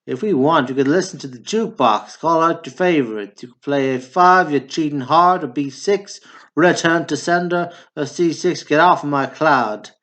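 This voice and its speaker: A man's voice: a little high, fairly bright tone. A man acting in a stage play as one of two blunt, bantering Texan brothers, one a drunk war veteran.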